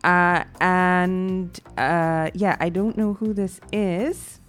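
A woman's voice: fairly low pitch, steady tone, very slow. Bubbly Guyanese woman hosting a talk show. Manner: bubbly, laughs often, reads viewer comments. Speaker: morning show co-host. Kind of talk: live talk show chat. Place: TV studio.

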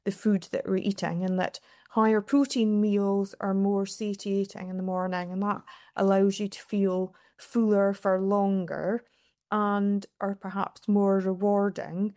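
A woman's voice: mid-range voice, little pitch movement, a little slow, dark tone, no echo, clean studio sound. A woman scientist explaining research as an expert guest in an interview.

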